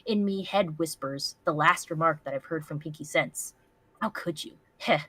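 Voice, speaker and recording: A woman's voice: sits low, normal speed, fairly bright tone, no room sound. A woman voicing a brooding, self-pitying jealous male pony in a melodramatic, wounded reading of fan fiction.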